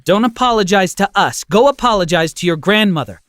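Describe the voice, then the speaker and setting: A man's voice: high-pitched, lively intonation, fairly quick, a bit bright, no echo, clean studio sound, pitched above the performer's own voice. A man voicing a mother who is shocked and stern with her son. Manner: stern, disbelieving.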